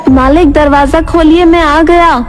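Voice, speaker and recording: A woman's voice: high-pitched, fairly even intonation, unhurried. A woman playing a poor, meek young servant boy in a cartoon, speaking in an earnest, plaintive way. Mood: dutiful. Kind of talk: Hindi moral cartoon voice acting. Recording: music behind the voice.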